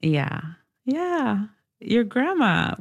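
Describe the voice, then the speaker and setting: A woman interviewer asking gentle, probing questions about a personal topic. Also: fairly high pitch, sing-song, very slow, dull audio.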